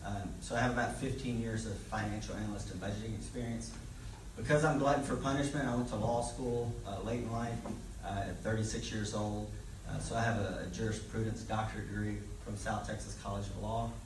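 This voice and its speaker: A middle-aged man introduces himself as a candidate at a public forum, plainly and informally, pausing with filler words. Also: lowish voice, steady tone. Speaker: political candidate. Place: public meeting hall, indoors. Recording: echoey room, distant, noisy.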